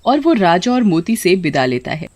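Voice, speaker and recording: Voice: medium pitch, very animated, normal speed, background noise. A narrator reading a scripted children's story in a dramatic storytelling manner.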